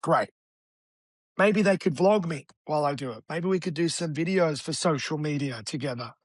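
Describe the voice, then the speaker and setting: Raspy man, brisk and blunt. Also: fairly high pitch, no room sound, clean studio sound. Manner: energetic, blunt, matey ("man"). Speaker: guest real estate agent. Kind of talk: casual interview talk.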